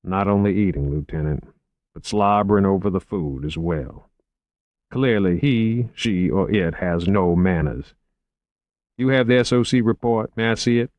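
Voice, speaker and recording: A man voicing an urbane, unhurried investigator in an audiobook, genteel and measured with dry wit. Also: sits low, dry recording, lo-fi sound. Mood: dryly amused. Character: urbane, unhurried, drily witty male investigator. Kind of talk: audiobook character acting.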